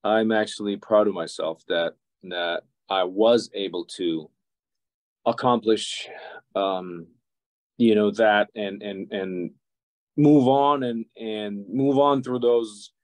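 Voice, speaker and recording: Man, Serbian accent, slightly deep voice, halting reflective delivery, dry close sound, interview guest. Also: darkish tone, no room sound. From Serbia, former Yugoslavia. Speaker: interview guest, violinist and music educator. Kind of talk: conversational interview answers. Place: office or teaching room, indoors. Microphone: video call.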